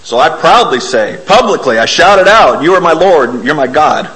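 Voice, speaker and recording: A man preaching an informal, self-deprecating sermon in a church, hoarse from a bug and often clearing his throat. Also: a little high, expressive, moderate pace, bright, forceful tone, low-quality recording. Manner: folksy, self-deprecating, explains hymn words. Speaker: lay preacher.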